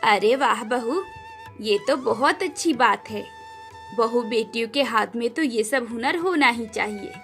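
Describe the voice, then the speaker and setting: A woman puts on an older village mother-in-law for a cartoon tale. The pitch is dropped well below her narrating voice. She sounds motherly, approving and welcoming. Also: measured pace, fairly bright tone, faint music behind. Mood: warm, welcoming.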